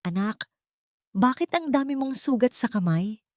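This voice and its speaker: A woman voicing a frail, humbled old grandmother, soft and tender, in an audio story. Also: a little high, lively intonation, normal speed, no echo, clean studio sound, pitched above the performer's own voice, lightly acted. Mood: concerned.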